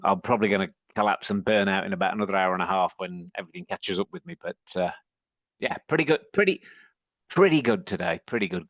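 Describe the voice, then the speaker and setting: A man from northern England with a deep husky voice, hoarse from shouting, talking as a guest on a podcast with many restarts. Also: no room sound, highs cut off. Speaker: podcast guest, agency head. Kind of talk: casual panel chat.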